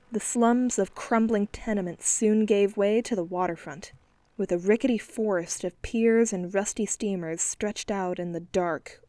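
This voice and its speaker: A woman narrating an audiobook with steady, clear storytelling. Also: medium pitch, no room sound. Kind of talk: scripted narration.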